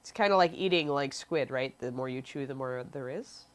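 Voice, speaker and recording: A woman's voice: a bass voice, normal speed, a little hiss or hum. Sniffly woman teaching miniature painting. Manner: chatty, reads chat questions aloud, frequent ums. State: sniffly from allergies. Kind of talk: live-streamed painting tutorial.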